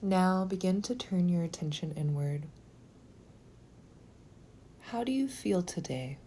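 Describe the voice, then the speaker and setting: A woman leading a guided meditation in a slow, gentle, soothing manner, with phrases sometimes softening toward a whisper. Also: fairly low pitch, lively intonation, a little hiss or hum.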